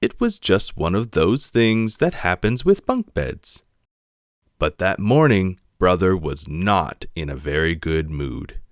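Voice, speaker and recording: A man reading a children's picture book aloud in an expressive narrating style. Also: deep voice, slow, no echo, clean studio sound. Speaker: storybook reader.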